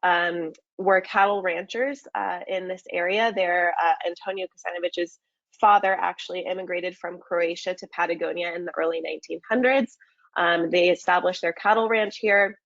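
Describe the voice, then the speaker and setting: A woman's voice: on the low side, steady tone, normal speed, vocal fry, fairly bright tone, no room sound, muffled recording. A woman from the United States presenting a travel webinar in a friendly way, with many ums and uhs. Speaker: market manager presenting a webinar.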